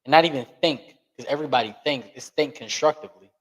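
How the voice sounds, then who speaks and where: Man, podcast guest, earnest and emphatic, mid-pitched voice, shifting pace for stress. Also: fast talker, poor audio. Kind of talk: candid interview talk.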